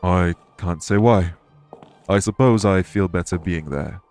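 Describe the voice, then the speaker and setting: A man playing a courteous former king turned fae official in an audio roleplay, speaking formally and with measured reassurance. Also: sits low, a little mellow, soft background music. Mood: reserved, matter-of-fact. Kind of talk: audio roleplay spoken to the listener.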